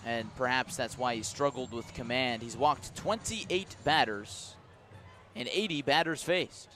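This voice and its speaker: An American man calling a baseball game live on the radio, describing play in measured, short clipped phrases. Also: medium pitch, sharp tone, soft background music. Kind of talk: live radio sports commentary.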